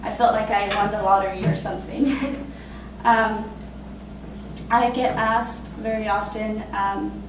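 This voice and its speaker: A woman in her twenties from Costa Rica, telling her own story in a casual, halting way with many fillers and laughs. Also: medium pitch, steady tone, very echoey, far from the mic, background noise. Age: 20s. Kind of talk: personal spoken testimony.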